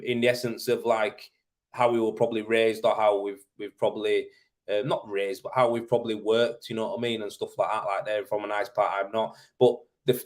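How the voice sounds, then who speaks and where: A man's voice: medium pitch, darkish tone. A man from Leeds with a Yorkshire accent, talking informally and colloquially as he hosts a casual livestream and answers questions. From Leeds, Yorkshire, England. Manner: informal, matey, colloquial, thinking aloud. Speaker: live show host, tradesman in plastering.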